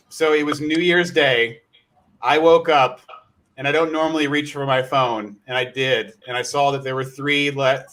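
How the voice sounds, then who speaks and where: A man hosting a gathering speaks informally and earnestly, halting and repeating his words. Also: medium pitch, a bit bright. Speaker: host of a gathering.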